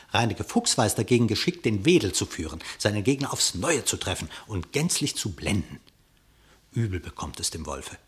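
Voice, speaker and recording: Male narrator, radio play, verse fable, dramatic, animated pitch. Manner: dramatic, vivid storytelling. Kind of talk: scripted narration of a verse epic.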